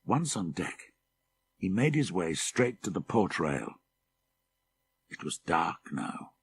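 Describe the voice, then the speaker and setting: A man reading a horror story as an audiobook narrator, measured and deliberate, with dramatic emphasis. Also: deep voice, big pitch swings, vocal fry, breathy edge.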